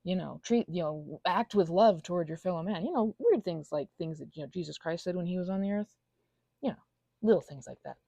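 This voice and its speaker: A woman voicing an anxious, self-deprecating little female goblin in an intimate ASMR monologue, rambling haltingly and interrupting herself with soft asides. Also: fairly low pitch, creaky, dry recording. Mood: earnest, hushed.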